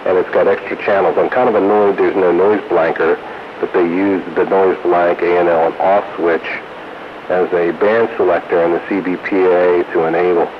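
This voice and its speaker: Deep-voiced man on noisy CB radio. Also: flat delivery, moderate pace. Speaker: host of a CB radio hobbyist roundup. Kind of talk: casual radio chat. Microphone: CB radio microphone. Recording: noisy, dull CB radio transmission.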